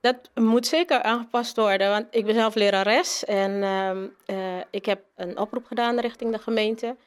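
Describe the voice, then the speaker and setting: A woman's voice: fairly high pitch, steady tone, moderate pace, smooth. A woman, a teacher, giving spontaneous interview answers with hesitant, repeated phrasing.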